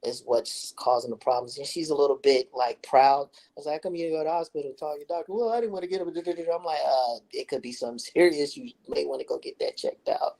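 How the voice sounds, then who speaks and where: A man with a high-toned voice joins a casual chat as a caller. He tells stories with animated flair, popping into higher voices for quotes. He is calling in from a quiet room. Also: quick pace, vocal fry, hardly any pauses, poor audio. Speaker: guest caller.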